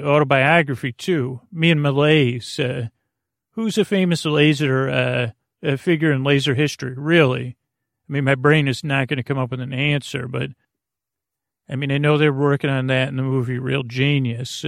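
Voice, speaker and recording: A man with a creaky voice hosting a podcast for the sleepless, talking in a casual, meandering, halting monologue with a goofy, whimsical streak. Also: mid-range voice, no room sound, studio quality. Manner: meandering, halting, stop-and-start. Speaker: podcast host.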